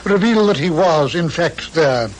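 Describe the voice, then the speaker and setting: An actor playing a pompous, condescending English doctor and detective in a vintage radio drama, speaking precisely. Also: male voice, on the high side, lively intonation, normal speed, lightly acted. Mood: smug, dry. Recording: noisy old radio recording.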